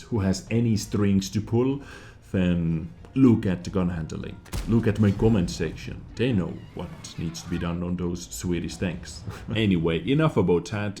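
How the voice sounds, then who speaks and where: A man's voice: low voice, warm tone, a little hiss or hum. A man hosting gaming news commentary in an opinionated, emphatic way, repeating phrases for stress. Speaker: gaming news show host. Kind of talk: video game news commentary.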